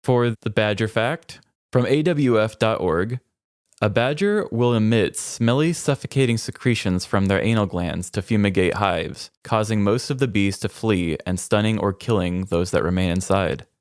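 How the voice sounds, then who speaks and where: A man's voice: lowish voice, moderate pace, no echo, studio quality. A man co-hosting a podcast, chatting casually and reading out fun facts with a playful, wry, cheeky manner. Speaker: podcast co-host. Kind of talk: casual comedic chat with read-out fun facts.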